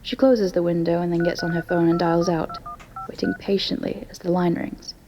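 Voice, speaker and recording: A woman's voice: lowish voice, normal speed, noisy background. A woman narrating a scripted audio story.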